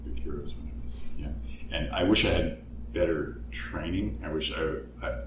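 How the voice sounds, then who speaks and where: A man chatting casually about composing music, halting and self-deprecating, laughing often, recorded far from the mic in an echoey, noisy room. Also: a bass voice. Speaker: composer on the podcast team. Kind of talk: casual conversation.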